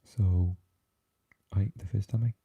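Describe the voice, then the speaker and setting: A man with a Swansea Welsh accent, chatting softly with live viewers and often dropping into an unvoiced whisper. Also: steady tone, slow pace, slightly rough, lo-fi sound. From Wales. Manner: mixes unvoiced whispering with low, deep speaking. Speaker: ASMR live streamer reading chat. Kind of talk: soft-spoken live chat with viewers.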